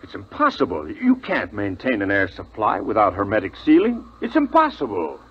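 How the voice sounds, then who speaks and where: A dull vintage radio recording of a brisk survivor scout. His low, raspy voice swings widely with disbelief and urgency in old radio drama style. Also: huge pitch swings, exaggerated voice. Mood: incredulous. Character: incredulous, brisk adult male scout from a survivor colony. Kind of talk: 1950s radio drama acting.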